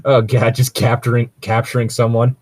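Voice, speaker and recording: A man's voice: medium pitch, monotone, normal speed, no echo. A man chatting casually and at length about tabletop role-playing, rambling and swearing often as a co-host. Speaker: co-host reading and discussing stories.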